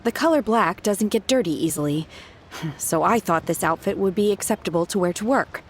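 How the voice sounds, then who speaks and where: Woman voicing an aloof literal young woman, game dialogue, lively pitch. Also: brisk, a little hiss or hum, natural voice. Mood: puzzled. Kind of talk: game voice acting.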